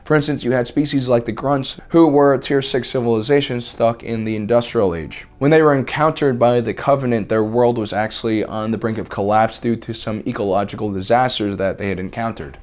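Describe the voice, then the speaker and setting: A man hosting a scripted video essay, with a slightly raspy voice and a deadpan, mock-serious delivery. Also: mid-range voice, brisk, hardly any pauses. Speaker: host.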